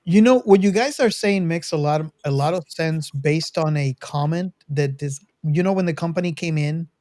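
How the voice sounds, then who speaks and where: A man co-hosting a casual film discussion, reasoning aloud in a measured way. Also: a little high, darkish tone. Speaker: movie discussion podcast co-host.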